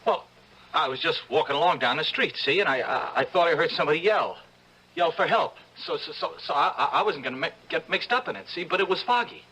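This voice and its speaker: An actor playing a panicky thirty-year-old ex-convict in an old-time radio drama, stammering and pleading in a raspy streetwise way. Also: male voice, fairly high pitch, animated, brisk, sharp tone, full character voice, rough recording. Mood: nervous, defensive.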